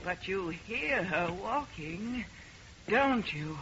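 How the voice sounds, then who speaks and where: A woman's voice: medium pitch, expressive, fairly bright tone, full character voice. An actress playing a haunted, grieving mother, melodramatic and insistent, in an old radio horror play. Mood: eerie, insistent. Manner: melodramatic, intense, deliberate. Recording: noisy, dull old radio recording.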